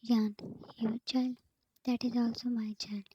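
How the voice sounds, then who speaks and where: A woman voicing a heartbroken young woman who pleads but stays resolute, all in a soft whisper. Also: on the high side, level tone, measured pace, slightly rough, lo-fi sound. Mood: anguished.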